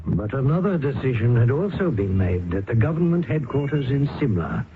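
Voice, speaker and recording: An actor playing an educated, loyal gentleman friend in old-time radio drama, formal and earnest, who also narrates. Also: mid-range voice, very animated, moderate pace, background noise, close to an everyday voice. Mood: sombre narration. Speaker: narrator and character. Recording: tinny old radio recording with music.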